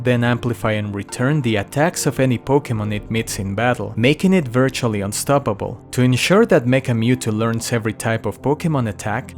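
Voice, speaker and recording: A man hosts an explainer video in a scripted narration style. His pitch is average for a male voice, and music plays behind him.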